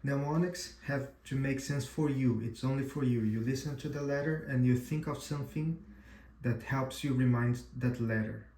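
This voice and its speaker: A man whose first language is Portuguese gives a casual tutorial demo, pausing often with "uh" and restarting his sentences. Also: medium pitch, darkish tone, echoey room. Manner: halting, frequent "uh" and restarts, checks in with "right?". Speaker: amateur radio instructor.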